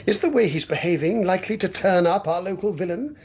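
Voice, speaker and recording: Haughty, irritable English country gentleman for radio drama: husky, swooping delivery, openly scornful. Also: high voice, animated, fairly quick, exaggerated voice. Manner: scornful, condescending. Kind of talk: British radio drama acting.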